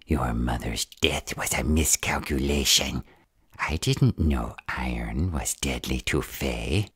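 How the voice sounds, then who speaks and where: Very deep, husky gremlin villain. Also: male voice, dry recording, clean studio sound, full character voice. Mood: menacing, defensive. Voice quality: husky, gravelly rasp. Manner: pleading, threatening, self-justifying. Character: menacing, whiny male gremlin stalker held captive. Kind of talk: audio roleplay acting.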